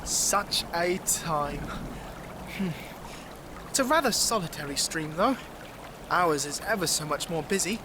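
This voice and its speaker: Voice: medium pitch, animated, unhurried, pauses often, noisy background, natural voice. An actor voicing a polite, fussy young marten, easily flustered and old-fashioned in phrasing, in an audio drama. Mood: contented. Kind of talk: audio drama character acting. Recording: loud music underneath.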